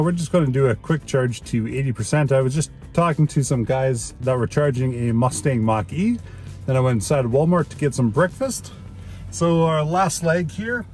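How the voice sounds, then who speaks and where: Chatty man vlogging from his car. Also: mid-range voice. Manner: chatty, enthusiastic, many fillers. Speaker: EV road trip vlogger. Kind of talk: casual vlog talk to camera. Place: inside a parked car. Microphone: phone camera at arm's length.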